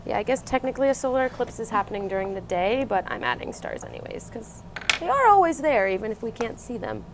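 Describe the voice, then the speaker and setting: A woman's voice: a little high, big pitch swings, quick pace. A woman co-hosting a craft show, demonstrating steps casually and chatting around a table indoors. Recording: noisy room with table sounds.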